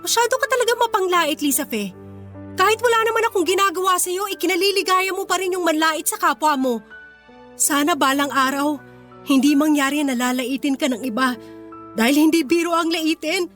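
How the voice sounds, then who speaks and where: A woman's voice: extremely high pitch, brisk, fairly bright tone, faint music behind. A Filipino woman playing a proud, wounded young working-class heroine in a radio drama, speaking with earnest emotion. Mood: hurt, indignant.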